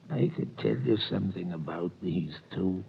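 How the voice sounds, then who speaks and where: An actor playing a wary, gossipy tailor with a probing, exclamatory manner in a 1930s radio drama. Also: male voice, low-pitched, lively intonation, takes it slowly, creaky, a little hiss or hum, full character voice. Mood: scoffing, gossipy. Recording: thin, phone-like old radio recording.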